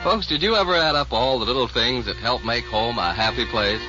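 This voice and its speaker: A man's voice: mid-range voice, brisk. A man announcing in a folksy, chatty 1940s radio commercial style. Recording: dull old 1940s radio recording with music.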